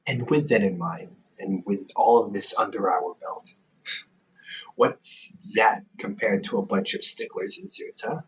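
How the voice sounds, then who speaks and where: Man acting as slow-talking, teasing, reassuring older brother. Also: lowish voice, expressive, pitched below the performer's own voice, poor audio. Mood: encouraging. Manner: casual, teasing, gently reassuring, halting. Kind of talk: intimate audio roleplay, one-sided conversation. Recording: hobby microphone with faint background noise.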